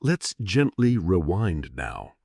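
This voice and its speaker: Slow, soothing male bedtime storyteller. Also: deep voice, sing-song, creaky, breathy edge, dry recording, studio quality. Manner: gentle, soothing, storyteller's dramatic pauses and rhetorical questions. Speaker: narrator of sleep history stories. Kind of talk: scripted bedtime history narration.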